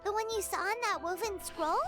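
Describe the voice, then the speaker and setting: A woman voicing a tiny, excitable childlike companion in a fantasy video game, with a squeaky tone. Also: a little fast. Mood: curious, eager. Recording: tinkling children's-style game music behind.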